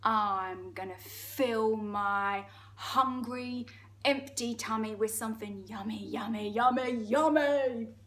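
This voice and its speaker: A woman's voice: a little high, slow, a bit bright. A woman reader acts out a huge, boastful crocodile from a children's story. The creature is greedy and sly, lingering over words with gloating menace.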